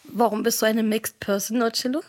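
A woman's voice: a little high, steady tone, a little fast, a little mellow. A woman co-hosting a radio interview, asking short, upbeat questions.

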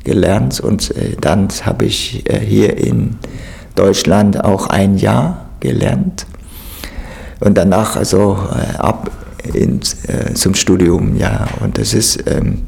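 Very gravelly, slow man with Vietnamese accent. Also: on the low side, a little mellow. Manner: halting, frequent hesitations. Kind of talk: spontaneous interview account.

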